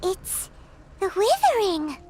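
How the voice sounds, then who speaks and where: A woman voicing a tiny, excitable, childlike fairy companion in a video game, squeaky and cute. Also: takes it slowly, exaggerated voice. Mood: uneasy. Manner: refers to self in third person, exclamatory. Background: game music.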